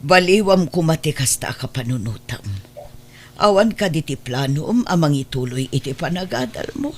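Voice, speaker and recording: Woman acting pleading mother, expressive husky voice. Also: low-pitched, normal speed. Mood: hurt, pleading. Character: worried, pleading mother of a wayward grown son. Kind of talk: Ilocano radio drama acting.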